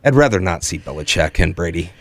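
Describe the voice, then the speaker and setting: A man's voice: low-pitched, lively intonation, fast talker, dry recording. A man co-hosting sports radio, debating casually and emphatically, often repeating himself, close on a studio microphone. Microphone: close studio broadcast microphone.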